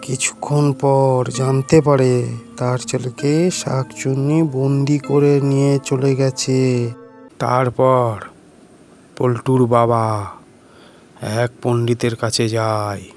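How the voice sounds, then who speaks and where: A man narrating a simple scripted cartoon story in short, halting phrases. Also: mid-range voice, level tone, darkish tone, faint music behind.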